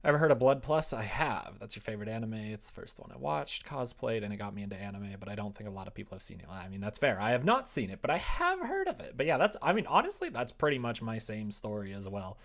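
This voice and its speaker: A man's voice: on the low side, sing-song, fast, hardly any pauses, fairly bright tone. A young man chatting casually with stream viewers while he works at his computer, sometimes muttering to himself. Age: young adult. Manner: muttering to himself while typing, then livelier replies. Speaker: livestreamer writing a visual novel. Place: indoor room at a computer desk.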